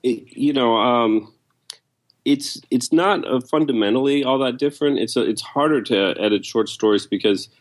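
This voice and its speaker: A man's voice: mid-range voice, moderate pace. A man talking as a book editor and interview guest, explaining his work conversationally.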